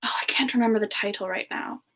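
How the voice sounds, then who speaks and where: A woman voicing a gentle, friendly young siren demon in a semi-ASMR livestream chat, speaking softly and hesitantly with polite warmth toward listeners. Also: on the high side, steady tone, quick pace. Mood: thoughtful, gentle. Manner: soft-spoken, hesitant, polite, breaking into murmurs. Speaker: livestream host in character.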